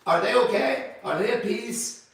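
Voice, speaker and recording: Forceful, confrontational preaching man. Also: very high-pitched, animated, moderate pace. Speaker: host and leader of a men's group. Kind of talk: preaching group discussion. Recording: very echoey room, distant mic.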